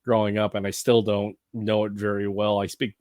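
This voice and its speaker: A man's voice: lowish voice, level tone, normal speed, a little mellow, no room sound, clean studio sound. A man hosting a casual podcast conversation, talking emphatically and with strong opinions. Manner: emphatic, opinionated, frequent backchannel murmurs. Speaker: podcast host.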